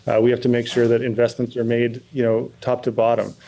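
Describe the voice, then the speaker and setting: A man answering in a news interview as a pilots' representative, speaking in a careful, measured, halting and diplomatic way. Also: medium pitch, completely flat delivery, creaky, mellow, a little hiss or hum. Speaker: pilot and pilots' association president, interview guest.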